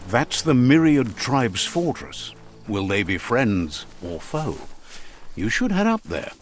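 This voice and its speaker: A man doing scripted narration for a video game in a measured storytelling manner. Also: mid-range voice, big pitch swings, slight background noise. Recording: game audio over music. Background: faint game music.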